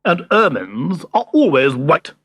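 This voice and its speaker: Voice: mid-range voice, very animated, a little slow, fairly bright tone, breathy edge, no echo, exaggerated voice. A scowling, haughty male ermine from a children's storybook, played by a man. He snaps indignantly and leans heavily on his words. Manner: snapping, indignant. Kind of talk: children's audio storybook character voice.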